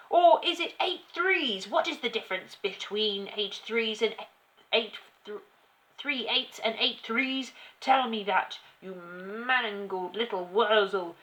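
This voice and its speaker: A domineering headmistress roars in a loud, high-pitched voice. She is a bullying tyrant, drawing out her words with furious, scornful emphasis. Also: full character voice, poor audio. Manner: bellowing, emphatic insults with drawn-out words. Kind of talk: children's storybook character reading.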